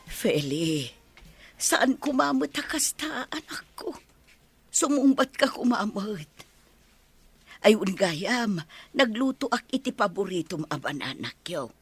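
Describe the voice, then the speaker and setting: A woman playing a caring, excitable elderly mother in an Ilocano radio drama, with an Ilocano accent and motherly, exclamatory delivery. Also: medium pitch, takes it slowly, long pauses, husky, soft background music, full character voice. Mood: worried, coaxing.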